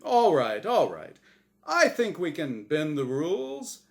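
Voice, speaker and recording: A man's voice: on the high side, wildly swooping pitch, takes it slowly, gravelly, pitched above the performer's own voice, heavily acted. A man voicing a smug, showy angel who hosts a game show, with theatrical, emphatic patter in a storybook reading. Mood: smug, jovial. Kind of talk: storybook character voice in dramatic reading.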